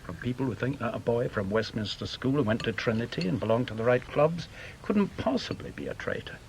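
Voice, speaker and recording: A man's voice: medium pitch, animated, fairly quick, slight background noise, lightly acted. An actor playing a bitter, blunt veteran British intelligence man in a radio drama, with an emphatic, scornful manner. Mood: grave, emphatic.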